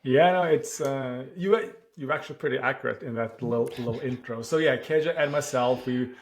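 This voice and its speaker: A man from Norway with a clear Norwegian accent talks through his work as a founder. He sits at an ordinary middle pitch and thinks out loud, pausing on "uh" and circling back to rephrase. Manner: thoughtful, with frequent "uh" pauses and restarts. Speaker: startup founder. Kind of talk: podcast interview answers.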